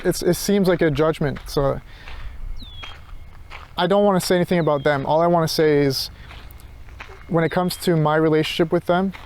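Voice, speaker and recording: Hesitant, reflective man with higher-pitched voice. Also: darkish tone, a little hiss or hum. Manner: hesitant, searching, circling back over phrases. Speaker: philosophy vlogger. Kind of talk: unscripted reflective monologue.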